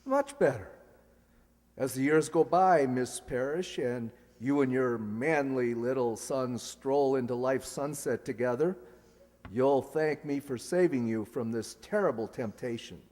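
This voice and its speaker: A man's voice: mid-range voice, a little mellow, natural voice. A man acting a stern, self-righteous young businessman in a radio comedy play, pompous and lecturing. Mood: smug. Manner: pompous, lecturing, deliberate phrasing. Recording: echoey hall.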